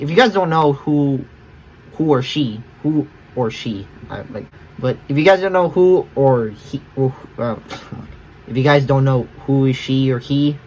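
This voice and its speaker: A man's voice: mid-range voice, slight background noise, rough recording. A man hosting a reaction video with casual, rambling commentary, often correcting himself.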